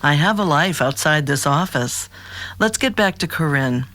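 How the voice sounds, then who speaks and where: An actress playing a calm, probing therapist with quiet humor, measured and professional, in an audio drama. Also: female voice, low voice. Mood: composed, supportive. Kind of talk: naturalistic audio drama dialogue.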